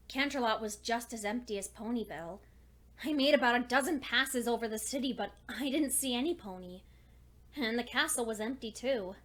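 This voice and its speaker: A high-pitched young female pony scholar, acted out by a woman, narrates a cartoon fan story as a personal log. She is earnest and precise, with a fretful, anxious edge as she tries to keep her thoughts in order. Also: a bit bright. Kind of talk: dramatic first-person fan fiction reading.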